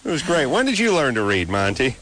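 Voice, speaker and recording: A man hosting a late-night radio call-in show. He banters casually, mocks himself and needles his co-host and guests. His voice jumps up playfully when he jokes. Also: fast talker. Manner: jokey, self-mocking, lively rises in pitch.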